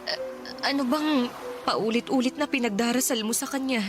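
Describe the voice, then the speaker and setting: A woman's voice: a little high, normal speed, over music, close to an everyday voice. An actress with a Filipino accent playing a devoted, protective, worried mother in a radio drama, speaking tenderly and emotionally. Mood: upset, protective. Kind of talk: Tagalog radio drama acting.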